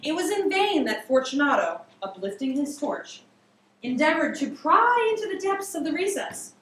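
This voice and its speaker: A woman's voice: high-pitched, very animated, normal speed, fairly bright tone. A woman in her thirties giving a theatrical, emphatic dramatic story reading, drawing out words like a teacher before a class. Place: classroom, indoors. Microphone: far camera across the room. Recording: distant, echoey classroom recording with noise.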